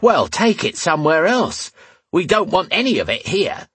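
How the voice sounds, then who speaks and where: A man's voice: mid-range voice, wildly swooping pitch, slow pace, slightly rough, dry recording, voice pushed up, exaggerated voice. A man voicing a coarse, lecherous middle-aged tavern woman with a suggestive, folksy drawl in a fantasy audiobook. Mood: scornful, angry. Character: lecherous, homely heavy-set tavern woman in her forties, coarse.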